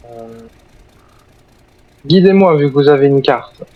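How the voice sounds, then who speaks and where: A man's voice: on the high side, animated, unhurried, vocal fry, no room sound. Courteous man on a dull, dry mic. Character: courteous survivor of a zombie island. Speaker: video game role-player. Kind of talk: improvised in-character game chat.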